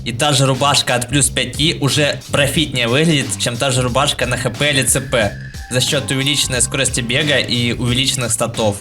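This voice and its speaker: A man's voice: medium pitch, fairly even intonation, fairly quick. A man narrating a casual gaming tutorial in an informal, slangy, explanatory way, with a speech impediment on the L sound. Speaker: video game guide creator. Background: background music.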